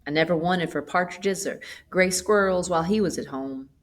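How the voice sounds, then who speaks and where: A woman's voice: fairly low pitch, moderate pace, natural voice. A woman voicing a chatty, hospitable old country grandmother in a storybook, with a folksy, gossipy manner. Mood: wistful. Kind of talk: storybook character voice.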